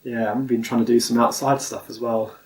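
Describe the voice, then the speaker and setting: British man, relaxed chuckly home-channel host, roomy sofa recording. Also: mid-range voice, a little fast. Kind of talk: casual chat. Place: home living room sofa.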